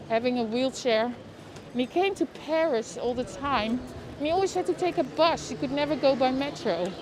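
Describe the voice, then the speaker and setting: A woman with a Dutch accent chats her way through a live outdoor walk, her voice high and her pace unhurried. She talks straight to her viewers on a lapel mic, with clear traffic and street noise all around. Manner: chatty, reacts aloud, talks to viewers by name. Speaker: livestream walking-tour host. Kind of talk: casual live walking commentary. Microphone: lapel mic while walking.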